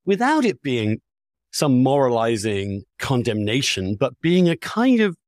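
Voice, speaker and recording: A man's voice: mid-range voice, very animated, a little slow, darkish tone, no echo, studio quality. A man talking candidly and with strong opinions, articulate and emphatic, often restarting his phrases. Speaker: podcast host.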